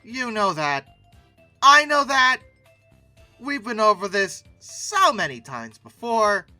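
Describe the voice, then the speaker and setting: A man's voice: sits high, very animated, slow pace, pushed and bright, no room sound, soft background music. A man giving casual, humorous commentary in a theatrical way, repeating words and adding mock-exasperated asides. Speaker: host reviewing old paleoart.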